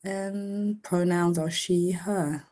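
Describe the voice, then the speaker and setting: A woman's voice: fairly low pitch, fairly even intonation, drawn-out words, dark tone. A woman from Senegal, co-director of a fund, giving a hesitant presentation on a video call, with many fillers and restarts. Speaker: co-director of a funding organization. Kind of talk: online info session presentation.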